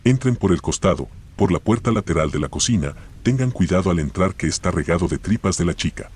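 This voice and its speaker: A rattled Argentine policeman in a radio play, played by a man. His voice is very low and husky, and he sounds queasy and defensive. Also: animated, a little fast, a little mellow. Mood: shaken, subdued. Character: shaken, queasy male police officer, defensive. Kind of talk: radio drama acting.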